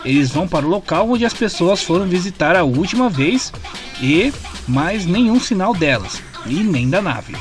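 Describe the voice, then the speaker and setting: Male narrator, Brazilian accent, high register, scripted story recap, delivered over a prominent music bed. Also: very animated, normal speed. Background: clear background music.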